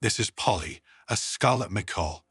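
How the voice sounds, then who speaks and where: Guy reading as earnest woman presenter in an audio story, explaining stuff, lively pitch, bit husky. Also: moderate pace, dry recording, clean studio sound, exaggerated voice. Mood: explanatory. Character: earnest woman presenting her pet to an audience.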